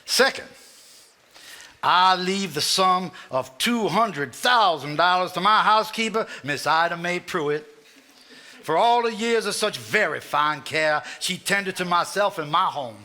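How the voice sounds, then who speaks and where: A man with a Southern US accent playing a formal, measured family lawyer reading a will aloud in a live stage drama. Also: sits high, animated, pushed and bright, exaggerated voice. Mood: solemn, formal. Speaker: stage actor.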